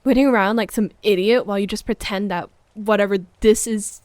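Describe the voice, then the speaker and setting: A woman playing a hurt, needy, accusing girlfriend in an intimate audio roleplay, moving between sharp reproach and hushed murmurs. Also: mid-range voice, steady tone, brisk, no echo, close to an everyday voice. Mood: accusing, upset. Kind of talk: intimate audio roleplay monologue, dramatic acting.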